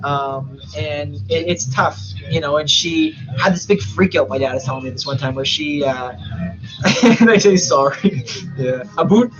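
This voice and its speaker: A man's voice: on the high side, moderate pace, dull audio, over music. A young man from Canada musing aloud in a rambling, casual live-stream monologue, swearing freely and full of fillers.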